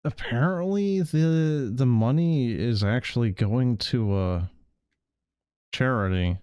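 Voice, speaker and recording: A man's voice: medium pitch, slow, darkish tone, smooth, no echo, studio quality. A man doing casual game stream commentary in a hesitant way, stammering and repeating words as he goes. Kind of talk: casual stream commentary, sometimes reading text aloud.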